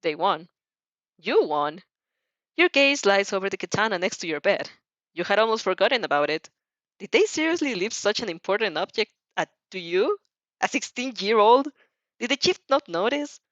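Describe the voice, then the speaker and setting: A woman reading fan fiction aloud as an expressive audio story narrator. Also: mid-range voice, normal speed, no room sound, muffled recording.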